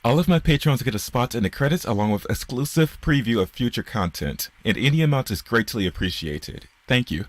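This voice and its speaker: A man's voice: mid-range voice, animated, a little fast, hardly any pauses. A man reading a scripted channel promo as a video creator asking viewers for support.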